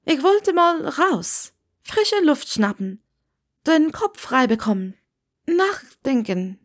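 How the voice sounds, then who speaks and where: A woman's voice: high voice, sing-song, slow, pitched above the performer's own voice, exaggerated voice. A woman voicing a polite, awkward young witch in a storybook reading, hesitant and evasive. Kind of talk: audiobook character dialogue.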